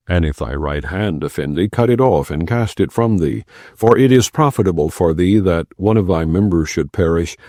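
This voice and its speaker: A man giving a measured, solemn non-dramatized reading of scripture, close to the mic. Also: deep voice, darkish tone, no room sound. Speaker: Bible reader.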